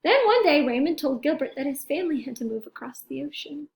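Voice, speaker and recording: A woman reads aloud to children in a high voice with plenty of fry. She is expressive, like a teacher, and speaks straight to her young listeners. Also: fairly quick. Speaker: teacher reading a picture book aloud.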